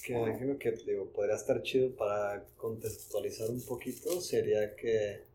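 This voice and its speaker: A man's voice: fairly low pitch, steady tone, normal speed, warm tone, a little hiss or hum. A man with a Mexican accent hosting a casual podcast, laid-back and peppering everything with slang fillers. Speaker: podcast host. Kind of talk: casual conversation.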